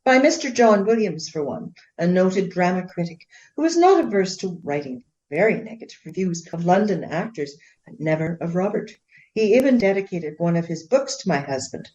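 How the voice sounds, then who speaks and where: A woman playing a proud, indignant Regency gentlewoman in a radio play, speaking formally and emphatically in defence of her husband. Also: fairly low pitch, big pitch swings, normal speed, lo-fi sound.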